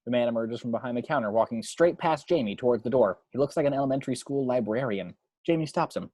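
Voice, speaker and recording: A man's voice: medium pitch, lively intonation, fast, warm tone, dry recording. A man reading screenplay action and scene headings as narrator for a table read at home. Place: at home, dry close recording.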